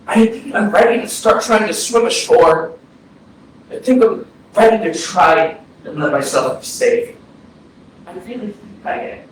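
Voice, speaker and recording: A man's voice: high voice, animated, measured pace. A man acting a grieving, guilt-ridden brother, speaking earnest, poetic lines in a staged play reading. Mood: resolving. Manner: earnest, declamatory. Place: small arts-centre stage, distant mic. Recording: very echoey stage, distant mic, noisy.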